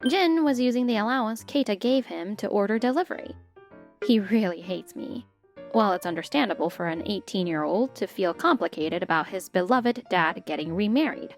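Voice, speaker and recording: A woman voicing a polite, put-upon stepmother who narrates her own story in an expressive manga dub. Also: medium pitch, normal speed, natural voice. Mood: narrating, troubled. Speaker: narrator and lead character. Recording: music bed behind the voice.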